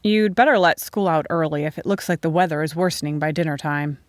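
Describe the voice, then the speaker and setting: A practical, caring farm husband from a storybook, read by a woman. The instructions are matter-of-fact, in a fairly low voice on a very dry recording. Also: fast talker, dry recording, close to an everyday voice.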